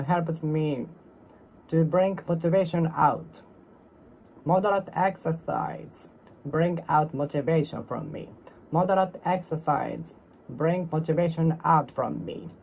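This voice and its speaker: A speaker from Japan with a Japanese accent, practicing English alone, repeating and reworking each sentence in a careful, halting way. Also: medium pitch, vocal fry, a little mellow, a little hiss or hum, poor audio. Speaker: English learner. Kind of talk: solo speaking practice, talking to oneself.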